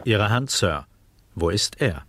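A man's voice: on the low side, sing-song, slow pace, a bit bright, dry recording, heavily acted. An actor playing a blunt, practical crewman who speaks tersely, in a radio drama. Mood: businesslike.

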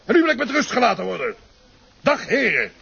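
An actor with a Flemish accent playing an impatient, bossy police chief in a radio drama. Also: male voice, very high voice, huge pitch swings, brisk, gravelly, sharp tone, muffled recording, a little hiss or hum, heavily acted. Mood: stern, irritated.

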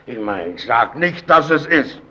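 A man's voice: medium pitch, big pitch swings, unhurried, full character voice. An actor playing a menacing superior in a German radio play, needling and mock-friendly as he bullies a timid underling. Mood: insinuating, insistent. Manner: needling, insinuating, mock-friendly, swinging from shouts to mutters. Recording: dull, noisy old radio play recording.

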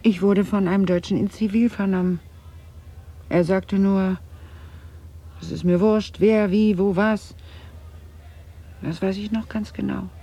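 An actress playing a haunted middle-aged woman in a German radio drama, confiding grave family guilt in a halting, husky voice. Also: medium pitch, steady tone, dark tone. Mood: bitter. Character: haunted Dutch woman confessing her family's wartime guilt. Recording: slightly dull 1980s radio recording.

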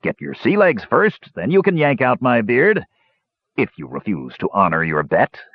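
A man performs a cunning, jovial old-tavern sort of dwarf for an audiobook. His voice is husky and lively in pitch, mock-solemn and cajoling. The recording is dry and a little muffled. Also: normal speed, no room sound. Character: sly, jovial pot-bellied bearded male dwarf adventurer. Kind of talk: audiobook character voice.

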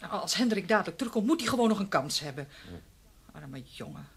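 A woman's voice: mid-range voice, very animated, a little fast, gravelly, husky, heavily acted. A woman with a Netherlands Dutch accent playing an anxious, protective newspaper colleague in a radio play, hesitant and pleading. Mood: dismayed, pleading. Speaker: radio drama actor.

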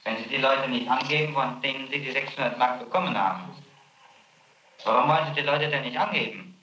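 A man's voice: medium pitch, a little fast, pushed and bright, echoey room, slight background noise, rough recording. A man working as a prosecutor, questioning a witness with probing, insistent questions on an archival tape. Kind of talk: archival interrogation recording.

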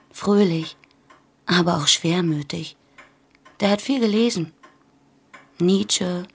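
An actress playing a reflective sister with a husky voice, reminiscing plainly in a radio play. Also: female voice, lowish voice, darkish tone.

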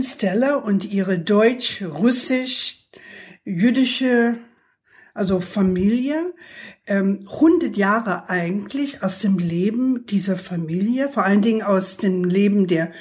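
Female author guest, 60s, American accent, native English, slow conversational delivery. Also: medium pitch, a little mellow. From New York, United States. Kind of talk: conversational interview.

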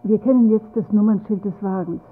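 A woman's voice: mid-range voice, fairly even intonation, brisk, lightly acted. An actress playing a weary, matter-of-fact wife and mother in a radio drama. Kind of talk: German radio drama acting. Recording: thin phone-like band, faint hum.

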